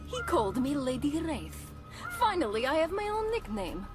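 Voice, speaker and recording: A playful female ghost from a video game. She teases with dry sarcasm in a highish voice that swings freely up and down. Music plays clearly behind her. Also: sing-song, normal speed. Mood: gleeful teasing. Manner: teasing, sarcastic.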